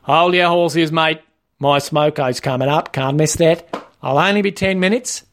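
A man's voice: on the high side, a little slow, fairly bright tone. A man voicing an officious, matey Australian male shop trainer for a comic story, with a blokey, rule-bound manner. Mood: breezy, offhand. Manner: blokey, officious, laying down rules.

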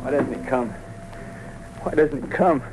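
An actor playing a bitter, desperate young condemned convict who talks in tough street slang, in 1940s radio gangster style. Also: male voice, medium pitch, a little slow, music in the background, close to an everyday voice, poor audio. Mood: anxious. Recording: old radio recording, faint noise.

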